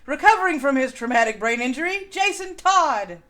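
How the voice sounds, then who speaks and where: A woman's voice: high-pitched, big pitch swings, brisk, a crowd in the background. A woman hosting a casual live-stream chat show.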